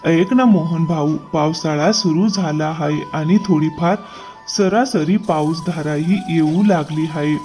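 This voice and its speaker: A hard-working farmer from a cartoon fable, acted by a man, with a high male voice. He speaks earnestly and obligingly as a loyal, trusting friend, over faint music. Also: measured pace, darkish tone, natural voice. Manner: earnest, accommodating. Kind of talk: cartoon moral-story dialogue.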